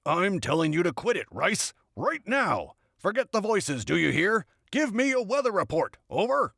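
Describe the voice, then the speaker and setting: A man voicing a gruff, short-tempered military general who barks curt threats in an audiobook story. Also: sits high, very animated, normal speed, fairly bright tone, no room sound, studio quality, voice pushed up, exaggerated voice. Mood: angry, threatening. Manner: barking, curt, threatening, swearing. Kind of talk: scripted audiobook character dialogue.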